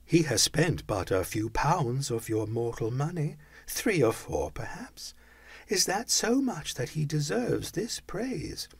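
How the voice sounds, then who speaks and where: A man voicing a solemn ghost that speaks slowly and softly. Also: fairly high pitch, big pitch swings, gravelly, husky, no room sound, pitched above the performer's own voice, full character voice. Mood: probing. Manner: slow and soft-spoken. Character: solemn ghost, quiet and probing.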